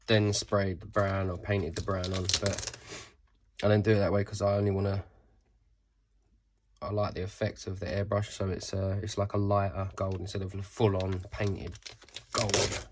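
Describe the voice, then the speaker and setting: Stuffy-nosed man, low voice. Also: little pitch movement, moderate pace, background noise. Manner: rambling, swears casually, corrects himself mid-sentence. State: stuffy nose from a cold. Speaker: sneaker customizer. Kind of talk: casual how-to tutorial.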